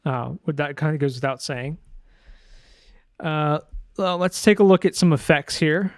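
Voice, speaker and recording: Male tutorial narrator, slightly higher pitch, hesitant, informal, thinking aloud, very dry sound. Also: a little slow, dry recording. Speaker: sound designer giving a tutorial.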